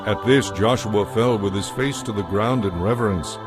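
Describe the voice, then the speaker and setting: Low-pitched man narrating scripture solemnly. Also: moderate pace. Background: background music.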